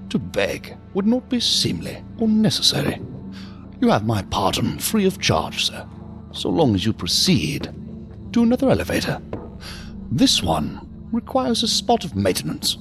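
Theatrical, menacingly polite attendant, swooping pitch. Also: male voice, wildly swooping pitch, unhurried, music in the background. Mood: politely obstructive. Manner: ornate, formal, mock-courteous phrasing. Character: eerie, urbane male elevator attendant in green suit, menacingly polite. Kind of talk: science fiction audio drama acting.

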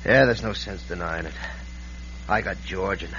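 An actor playing a surly, cornered villain in an Old West radio drama, curt in manner. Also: male voice, deep voice, normal speed, pushed and bright. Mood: defeated. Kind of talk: 1940s-50s radio western acting. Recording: dull, noisy old radio recording.

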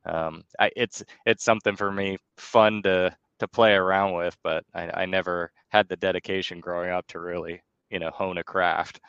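Deep-voiced thirty-something male host. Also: fairly even intonation, moderate pace, hardly any pauses, a bit bright, clear, resonant tone, dry recording, dull audio. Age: 30s. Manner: easygoing, short agreeing replies. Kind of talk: casual interview chat.

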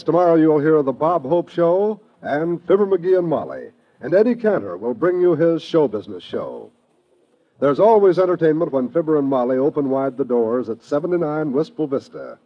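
A fairly high-voiced American man delivers polished, promotional announcements in classic 1950s radio style. The sound is a dull, muffled vintage broadcast.

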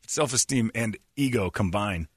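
A man hosting a morning radio show, telling animated stories in casual banter with his co-hosts. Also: fairly low pitch, breathy edge, no room sound, studio quality. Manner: animated storyteller who slips into voices.

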